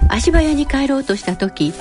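A woman's voice: high voice, lively intonation, moderate pace. A woman narrating a scripted radio drama. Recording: clear music behind the voice.

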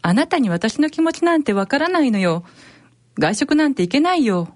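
A woman's voice: sits high, big pitch swings, normal speed, a little mellow, no room sound, studio quality. A woman playing an anxious, downcast wife recovering from cancer in an amateur radio drama. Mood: withdrawn, irritated.

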